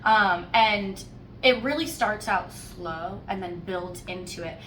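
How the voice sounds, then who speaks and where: A woman giving a casual, chatty book review, animated and playfully wry as she tells it. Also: medium pitch, takes it slowly. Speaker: host of a book discussion podcast. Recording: roomy echo, distant mic, faint noise.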